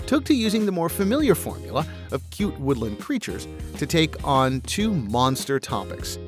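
A man reading scripted narration for a feature report. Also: a little high.

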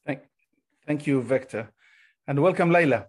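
A man's voice: mid-range voice, normal speed. A man hosting a panel discussion, introducing guests with polite, formal phrasing. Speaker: panel host.

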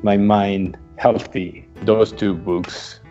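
A man from Mexico whose first language is Spanish, speaking English in a relaxed, reflective conversation with frequent pauses and fillers. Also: fairly low pitch, dull audio, faint music behind. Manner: hesitant, many uh fillers, reflective. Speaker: guest. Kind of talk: casual remote conversation.

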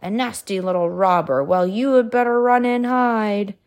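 A woman voicing a huge grumpy male giant in a read-aloud storybook, growling and booming. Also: moderate pace, a little mellow, lightly acted. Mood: gruff.

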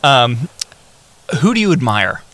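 A man hosting a podcast, with a bright, forward tone. He tosses out quick questions, teases his guest and keeps the chat light. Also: mid-range voice, very animated. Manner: upbeat, joking, quick prompts. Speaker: podcast host. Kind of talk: casual interview questions.

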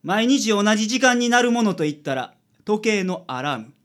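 Man playing a high-voiced, shrewd Kansai detective. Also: very animated, moderate pace, fairly bright tone. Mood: deducing. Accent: Kansai Japanese. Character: confident, shrewd male private detective. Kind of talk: radio drama acting.

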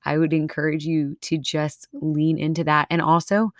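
A woman's voice: low voice, little pitch movement, normal speed, no room sound, studio quality. A woman from Minnesota with a Minnesota accent, co-hosting a faith podcast in an earnest, encouraging way. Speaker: podcast co-host. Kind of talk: casual faith podcast chat.

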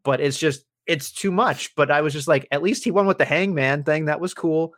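A man's voice: fairly high pitch, normal speed. Profane, ranting man in a podcast chat. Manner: profane, animated, rambling rants. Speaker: podcast co-host.

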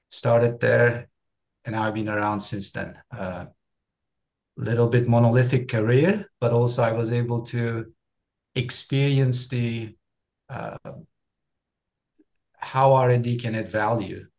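A man in his forties from Turkey, a guest chatting in a relaxed interview, often repeating words as he goes. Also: medium pitch, vocal fry. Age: 40s. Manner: friendly, with frequent repeated words and quick laughs. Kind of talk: casual interview chat.

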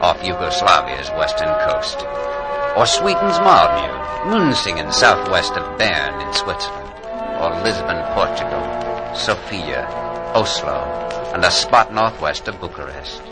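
A man acting as a solemn, formal narrator in 1940s radio style, measured and declamatory, on an old broadcast recording. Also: low-pitched, sing-song, over loud music, rough recording. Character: solemn memorial narrator reading a roll of war cemeteries. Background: prominent musical score.

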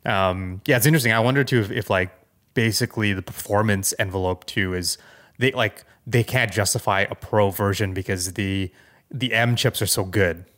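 A man co-hosting a podcast, chatting casually about tech news and thinking aloud in a halting, conversational way. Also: on the low side, a bit bright. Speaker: podcast co-host.

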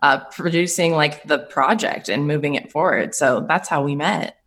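Low-voiced upbeat woman guest. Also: normal speed, a bit bright. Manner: upbeat, chatty, laughs. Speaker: startup co-founder and guest. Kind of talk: casual interview answers. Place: Papillion, Nebraska, remote call.

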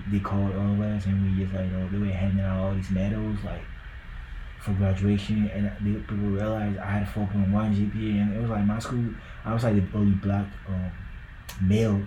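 A man's voice: lowish voice, level tone, a little fast, dark tone, roomy sound, dull audio, slight background noise. A young man from Ghana with a Ghanaian accent, talking loosely on a podcast and filling his talk with likes and bros. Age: young adult. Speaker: podcast co-host. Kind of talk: casual podcast chat.

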